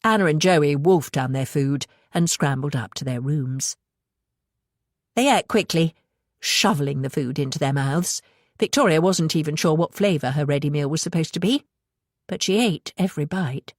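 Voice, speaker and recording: A woman's voice: sits low, normal speed, dry recording, clean studio sound. A woman with a British accent reading scripted fiction as an audiobook narrator.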